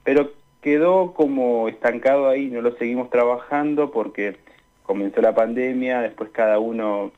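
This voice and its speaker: A young Argentine man answering questions in a conversational radio interview, speaking in a hesitant, halting way. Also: mid-range voice. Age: young adult. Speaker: interview guest, student radio drama maker. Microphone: remote call into a radio show. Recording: dull, lo-fi call-in sound.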